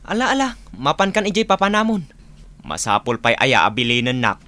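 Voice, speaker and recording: A man's voice: medium pitch, sing-song, fairly quick, sharp tone, no echo. A man with a Filipino accent playing a distracted, irritable husband who grumbles and grunts in a radio drama. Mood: irritated. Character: distracted, grumbling husband absorbed in phone games. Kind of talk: Ilocano radio drama acting.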